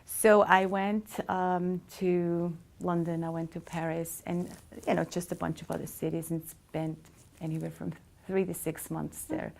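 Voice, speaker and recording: A woman's voice: fairly low pitch, level tone, a little slow, gravelly, darkish tone. A middle-aged woman from Switzerland, telling her life story in an interview with frequent hesitations. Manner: reflective, many hesitations. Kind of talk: casual storytelling.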